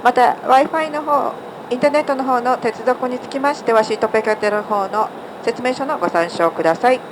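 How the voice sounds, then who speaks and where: Rapid-fire female flight attendant, noisy cabin. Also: fairly high pitch. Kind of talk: formal inflight announcement. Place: airliner cabin public address system. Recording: clear aircraft cabin noise over PA. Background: aircraft cabin drone.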